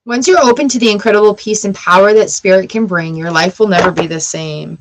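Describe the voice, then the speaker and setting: A woman hosting a live tarot reading, reading card meanings aloud and reassuring her viewers in a gentle, encouraging way. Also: medium pitch, fairly quick, low-quality recording. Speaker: tarot reader.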